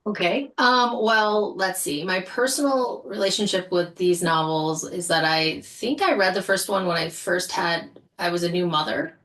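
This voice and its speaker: A woman's voice: lowish voice, moderate pace, fairly bright tone. A woman speaking as a guest in a casual podcast panel discussion.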